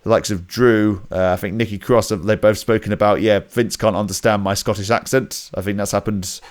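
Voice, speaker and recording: A man from Britain co-hosting a wrestling podcast, speaking in a measured, agreeable way with frequent ums and uhs. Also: fairly low pitch, steady tone. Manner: measured, agreeable, with many fillers. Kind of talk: casual chat.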